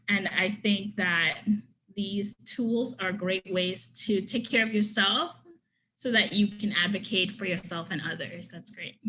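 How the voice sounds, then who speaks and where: A woman's voice: mid-range voice, pushed and bright, a little hiss or hum, low-quality recording. A woman from Trinidad giving an online conference workshop, earnestly reading participants' comments and responding to each one. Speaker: conference presenter.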